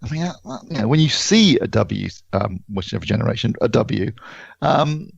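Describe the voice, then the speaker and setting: Gravelly British man chatting casually. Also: mid-range voice, animated, moderate pace, no room sound, lo-fi sound. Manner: rambling, conversational. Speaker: podcast reviewer. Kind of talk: casual podcast discussion.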